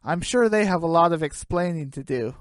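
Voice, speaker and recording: A woman's voice: lowish voice, animated, a little fast, dry recording, studio quality, pitched below the performer's own voice, natural voice. A woman voicing an exasperated, sensible female chicken, weary and scolding.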